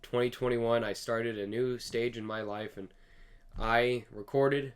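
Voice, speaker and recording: A young man hosting his own show, casually talking with a raspy, slightly hoarse voice from sinus allergies, rambling earnestly and correcting himself. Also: mid-range voice, steady tone, measured pace. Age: young adult. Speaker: host. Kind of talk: casual solo podcast talk. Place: home recording room. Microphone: desk USB microphone.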